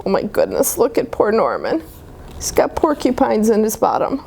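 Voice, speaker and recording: Animated high-voiced woman reading to children. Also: normal speed, gravelly, slightly rough, low-quality recording. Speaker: children's story time reader. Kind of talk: animated picture book read-aloud. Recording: noticeable steady background noise.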